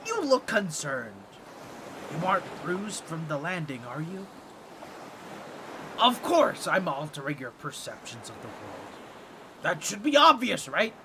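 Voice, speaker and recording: A man's voice: a little high, wildly swooping pitch, a little slow, pauses often, noisy background, heavily acted. A man voicing a boastful, scheming octopus merman with a grating, nasal, squawky voice and a pompous, awkward manner in a comedic audio roleplay. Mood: smug bragging. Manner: theatrical, pompous, fumbling with awkward asides.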